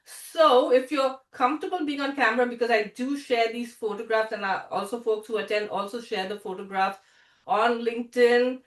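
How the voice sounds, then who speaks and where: Chatty lady host on a video call, kinda high voice, echoey room, sits back from the mic. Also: fairly even intonation, normal speed, recorded from a distance. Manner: chatty, laughs often, restarts sentences. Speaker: host and founder. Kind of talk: casual video call hosting.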